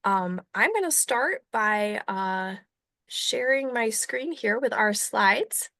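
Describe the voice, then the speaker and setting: A woman with a fairly high, very bright voice hosts an online webinar. She is upbeat and enthusiastic as she welcomes people and introduces things. The sound is dry, with hardly any room in it. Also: lively intonation, slow, no echo. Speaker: webinar host, nonprofit coach.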